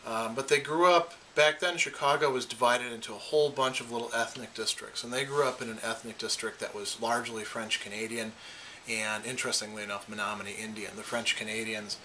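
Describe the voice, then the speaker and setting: A man's voice: medium pitch, fairly even intonation, fairly quick, pushed and bright, a little hiss or hum, rough recording. A man from Wisconsin with an Upper Midwest American accent, talking casually to camera as a philosophy lecturer and often restarting his phrases. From Wisconsin, USA. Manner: thoughtful, repeats words as he restarts. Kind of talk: casual personal talk to camera.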